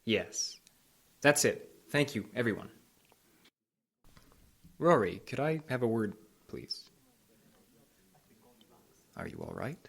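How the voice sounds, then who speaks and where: A man playing a concerned, fatherly support group facilitator who speaks gently and earnestly. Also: medium pitch, huge pitch swings, slow, gravelly, long pauses, breathy edge, full character voice.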